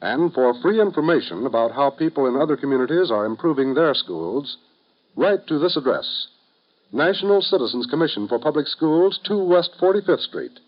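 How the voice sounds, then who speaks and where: An American man reading a 1950s radio public-service announcement in a formal, earnest, measured manner. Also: a little high, lively intonation. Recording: dull vintage radio recording.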